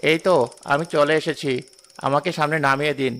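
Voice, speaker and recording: A generous, courteous family man , acted by a man, in a cartoon drama. His voice is very smooth and a little high, earnest and kindly in manner. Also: steady tone, brisk, fairly bright tone.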